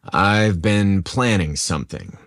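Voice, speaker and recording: Male narrator playing rugged charmer in a romance story, very low, slow, heartfelt. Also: fairly bright tone, no room sound, clean studio sound, exaggerated voice. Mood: hesitant confession. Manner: halting, heartfelt. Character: rugged, charming construction manager, devoted lover. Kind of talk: romantic audio story dialogue.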